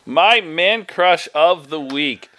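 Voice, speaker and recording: Podcast co-host, man, bright forceful tone, rambling and animated. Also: on the high side, slow. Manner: rambling, animated, restarts often. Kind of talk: casual wrestling chat.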